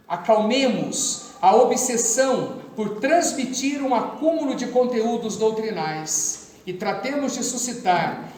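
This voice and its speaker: A Brazilian priest with a São Paulo accent teaches catechists in an animated, chatty way, full of asides and jokes. He stands well back from the mic, and his voice rings through a very echoey parish hall. A faint hiss sits under it. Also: high-pitched, recorded from a distance. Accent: Brazilian Portuguese, São Paulo. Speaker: priest giving catechist training.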